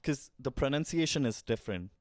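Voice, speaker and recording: A young man with an Indian English accent, co-hosting a casual podcast chat, fumbling and restarting his sentences often with quick laughs. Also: mid-range voice, expressive, no echo, clean studio sound. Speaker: podcast co-host.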